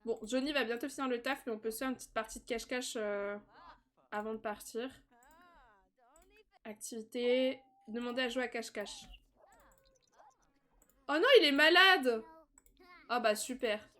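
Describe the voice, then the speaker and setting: A woman's voice: fairly high pitch, normal speed, long pauses. Chatty young woman streamer. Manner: chatty, laughs often, sudden excited squeals. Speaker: streamer playing a life-simulation game. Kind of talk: casual live-stream commentary.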